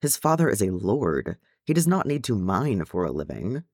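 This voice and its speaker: A man's voice: on the low side, sing-song, moderate pace, darkish tone, no room sound, studio quality. A man voicing a proud, skeptical older dwarf lord in an audiobook story, with a formal, disapproving manner.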